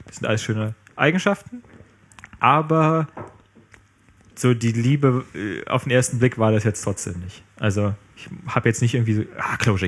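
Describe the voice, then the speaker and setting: A man's voice: medium pitch, slightly rough. A man talking casually about programming, rambling with many fillers and restarts. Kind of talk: casual tech chat.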